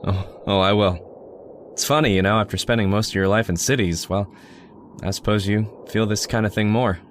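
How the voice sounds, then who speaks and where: A man's voice: lowish voice, fairly even intonation, moderate pace, a little hiss or hum, lightly acted. A man playing a lonely, jittery city-bred surveyor in a radio drama, talking in a wistful, hesitant way. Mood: wistful, uneasy.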